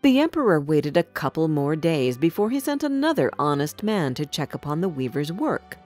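A woman tells a children's fairy tale in a fairly low voice. Her scripted narration is measured and expressive, with lively rises and falls, and soft music plays behind her. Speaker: storyteller.

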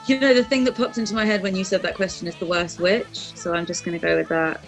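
A woman's voice: lowish voice, fairly even intonation, fast, rough recording. Young woman telling stories, faint music behind. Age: young adult. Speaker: band member, interview guest. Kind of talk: casual storytelling chat. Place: indoors, on a video call.

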